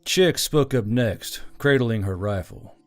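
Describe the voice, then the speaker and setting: Man, medium pitch, scripted fiction narration, storyteller, light background music. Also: animated, slow.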